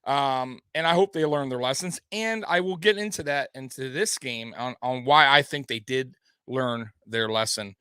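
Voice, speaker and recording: A man's voice: mid-range voice, normal speed, hardly any pauses, pushed and bright, smooth, no echo, studio quality. A man hosting a casual sports recap show, talking loosely and rambling, reading from a live chat, a little tipsy. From Detroit area. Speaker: host.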